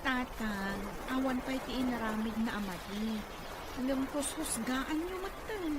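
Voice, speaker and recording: A woman's voice: sits high, lively intonation, measured pace. A woman playing an earnest, loyal young sweetheart in an Ilocano audio drama. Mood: pleading. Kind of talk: Ilocano radio-style drama acting. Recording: clearly noisy background.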